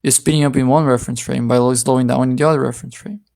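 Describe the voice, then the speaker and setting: Man, gravelly voice, methodical debater in voice chat. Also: medium pitch, fairly even intonation, rapid-fire, darkish tone. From Brazil. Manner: argumentative, explains step by step. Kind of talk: heated online voice-chat debate.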